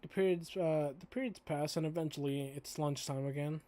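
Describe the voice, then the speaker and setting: A raspy-voiced streamer reading a visual novel aloud in a casual playthrough, stumbling over and rereading some lines. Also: mid-range voice, normal speed, warm tone. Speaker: game streamer.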